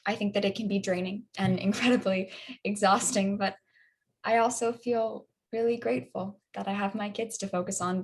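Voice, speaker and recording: A woman's voice: medium pitch, close to an everyday voice. A woman playing a tired but grateful mother of young children in documentary theater, conversational and hesitant.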